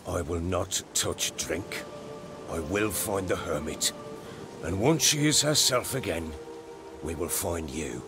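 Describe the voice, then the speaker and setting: Gruff sorry husband type, solemn, lowish and slow, fantasy game cutscene. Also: male voice, expressive. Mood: solemn, earnest. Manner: solemn, measured. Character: remorseful rough middle-aged husband and father. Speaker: video game character. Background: game music.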